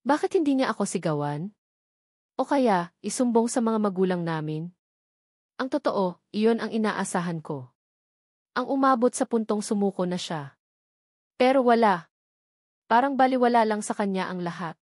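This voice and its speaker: A woman narrating a first-person audio drama in a conversational, expressive storytelling manner. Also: mid-range voice, moderate pace, long pauses, dry recording, studio quality.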